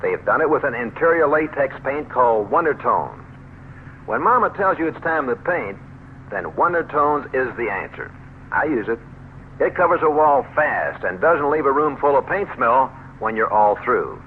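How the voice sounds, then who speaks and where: A man's voice: mid-range voice, big pitch swings, normal speed. An American man delivers a folksy, scripted radio advertisement with widely varied intonation. His chatty sales patter comes through a narrow 1970s radio recording with a little noise. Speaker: commercial pitchman.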